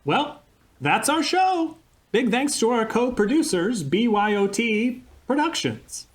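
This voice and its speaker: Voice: mid-range voice, very animated, takes it slowly. A performer playing a cheerful host signing off a comic radio show. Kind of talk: radio comedy play.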